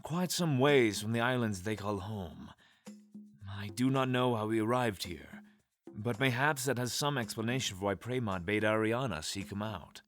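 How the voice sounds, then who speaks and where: Low-voiced, formal adventuring man, acted. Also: a bit bright, faint music behind, close to an everyday voice. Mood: thoughtful, assured. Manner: formal, measured wording. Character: formal, reasonable male fantasy adventurer. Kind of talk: audio drama acting.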